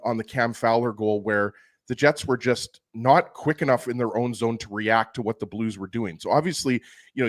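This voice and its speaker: A man co-hosting a sports talk show, giving passionate and opinionated analysis. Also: mid-range voice.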